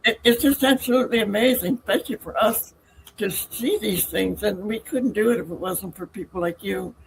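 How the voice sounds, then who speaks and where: This is a woman co-hosting a casual podcast interview. She sounds warm and enthusiastic and hesitates now and then. Faint background noise sits under her voice. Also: medium pitch, brisk, gravelly, poor audio. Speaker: podcast co-host.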